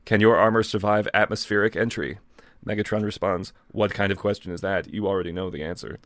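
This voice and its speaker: Male co-host and reader, lower pitch, very dry close sound, deadpan casual delivery. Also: a little fast, dry recording. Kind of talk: reading fan fiction aloud with casual asides.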